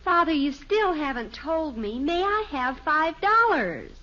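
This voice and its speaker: An actress playing a dramatic, sulky American teenage daughter in an old radio sitcom, plaintive and emphatic. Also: female voice, sits high, very animated, unhurried, bright, forceful tone, exaggerated voice. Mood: pleading. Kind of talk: 1950s radio sitcom acting. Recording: dull, noisy old radio broadcast recording.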